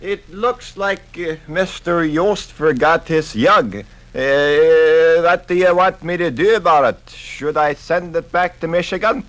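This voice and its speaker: A lovable elderly Swede in a 1950s radio dramatization. He speaks very slowly in a high voice, hesitant and deferential to his superior. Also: fairly bright tone, rough recording. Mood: hesitant, unsure. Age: older. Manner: halting, hesitant. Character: lovable old Swedish equipment manager, slow and deferential.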